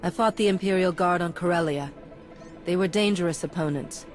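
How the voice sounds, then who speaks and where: A somewhat low female voice with music under it, a woman acting a principled game heroine. She stays calm, sincere and measured, like a steady-minded warrior monk. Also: close to an everyday voice. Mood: calm, serious.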